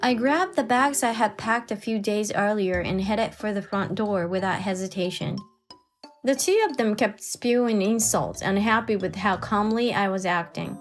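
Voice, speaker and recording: A woman's voice: mid-range voice, moderate pace, faint music behind. A woman giving scripted first-person narration as the narrator of a dramatized story.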